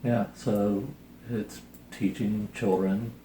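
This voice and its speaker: A man's voice: fairly low pitch, flat delivery, slow pace, mellow. A middle-aged man with dry, wry humor hosting a casual picture book reading and review. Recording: roomy echo, noticeable background hiss.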